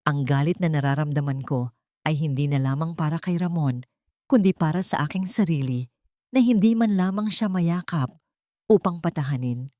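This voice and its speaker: A woman's voice: low-pitched, normal speed, dark tone, no room sound, studio quality. A woman reading a scripted first-person story in a dramatic storytelling style. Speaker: story narrator.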